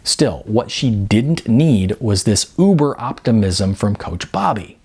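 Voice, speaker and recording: A man's voice: on the low side, big pitch swings, takes it slowly, a little mellow, slightly rough. Guy narrating a comedic audiobook, wry and sardonic, voice swings up and down a lot. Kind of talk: expressive comic fiction narration.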